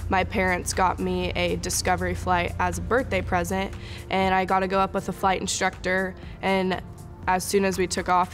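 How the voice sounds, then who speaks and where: A young woman recounts her own story in an interview. Her voice is slightly low and extremely even in pitch, with soft music behind. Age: young adult. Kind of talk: personal story in promotional interview. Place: large aircraft hangar.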